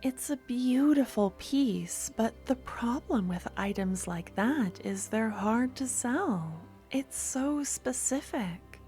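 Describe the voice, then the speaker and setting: A woman's voice: fairly high pitch, lively intonation, slow, hardly any pauses, soft background music. A woman playing a doting possessive shopkeeper. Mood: soft, admiring. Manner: soft, intimate, slips into whispers. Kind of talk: ASMR audio roleplay.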